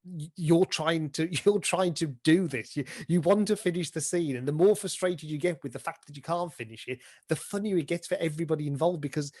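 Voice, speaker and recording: A man's voice: a little high, a little fast, hardly any pauses, a little mellow. A British man speaking casually as an interviewed theatre performer and director, enthusiastic and full of illustrative examples. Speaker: pantomime dame and director being interviewed. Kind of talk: casual interview chat about stage craft.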